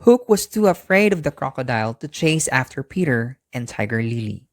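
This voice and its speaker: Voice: mid-range voice, sing-song, normal speed, no echo, clean studio sound. A storyteller narrating a scripted bedtime story for children.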